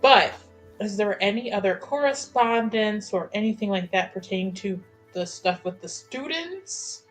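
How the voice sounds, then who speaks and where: A woman's voice: medium pitch, animated, unhurried, rough recording. Casual woman playing a tabletop game, music behind. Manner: informal, thinking aloud. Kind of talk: casual improvised roleplay chat. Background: background music.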